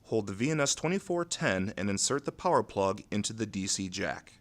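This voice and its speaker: A man with a fairly low voice narrates an instructional video. His delivery is scripted and methodical, keeping a neutral, measured tone as he moves from step to step. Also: expressive.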